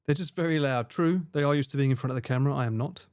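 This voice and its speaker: A man's voice: medium pitch, very fast, a little mellow, no room sound, studio quality. A man reacting to viewer clips on a live stream, chatting loosely with his audience, laughing easily and swearing casually.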